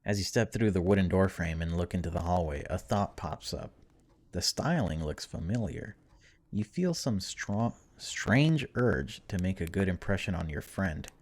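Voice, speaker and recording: A man's voice: low voice, animated, normal speed, darkish tone. A man hosting a gaming video, reading story text aloud dramatically and breaking off for casual, wry asides.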